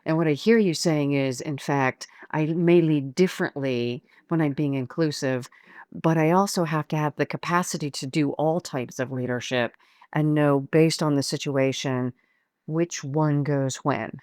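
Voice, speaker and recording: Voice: mid-range voice, fairly even intonation, moderate pace, darkish tone, no room sound, clean studio sound. A podcast host who reflects on and sums up the guest's points in an interview. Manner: summarizing, reflective, affirming. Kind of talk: interview conversation.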